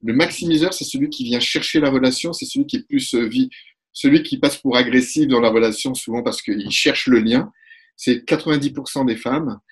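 A man in his forties, a couples therapist, explaining ideas in a lively webinar talk and acting out short exchanges, recorded through a webcam at home. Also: medium pitch, slightly rough. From Italy. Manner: animated, gestures a lot, acts out quick exchanges. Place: room at home. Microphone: webcam on a video call.

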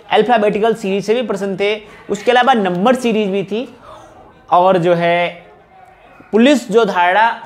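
A man gives an informal explanatory lecture as a coaching teacher, often repeating phrases for emphasis. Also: high-pitched. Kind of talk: informal exam analysis lecture to camera. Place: small indoor room, at a whiteboard. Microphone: wired lapel mic.

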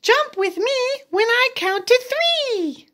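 A performer voicing an excitable, bossy little female piglet dance teacher in a squeaky voice for a children's picture book. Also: slow pace, exaggerated voice. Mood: eager instructing. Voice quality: high, squeaky. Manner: chirpy, commanding, exclaiming.

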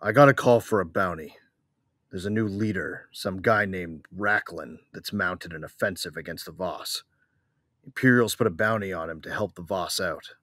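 A man playing a big, gruff, wounded bounty hunter in a sci-fi audio drama. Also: low-pitched, normal speed, a bit bright, dry recording, clean studio sound. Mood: gruff, informative.

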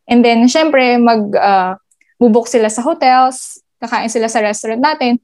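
A Filipino woman answering interview questions on a video call, switching languages and pausing on fillers. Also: on the high side, a little mellow. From Philippines. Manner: code-switching, hesitant with fillers. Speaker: guest, gaming industry supervisor.

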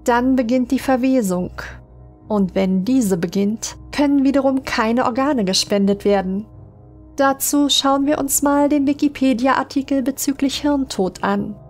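A woman reading scripted horror stories as an audiobook narrator, in a measured, dramatic manner. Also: sits high, a little mellow. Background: music under the voice.

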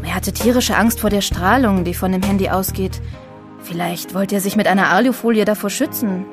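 A woman's voice: medium pitch, a little fast, natural voice. Prickly young woman over music played by an actress. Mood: dismissive. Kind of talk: audio drama acting. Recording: studio dialogue over clear music.

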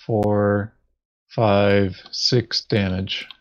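A man playing a board game, chatting casually and thinking aloud in a halting way. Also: fairly low pitch, flat delivery, smooth, dull audio. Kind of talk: casual tabletop game chat.

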